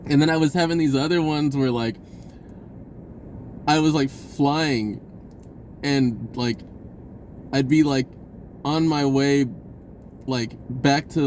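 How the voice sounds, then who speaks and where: A man telling a personal story in a casual, rambling, informal way with an animated, giggly delivery. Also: mid-range voice, slight background noise.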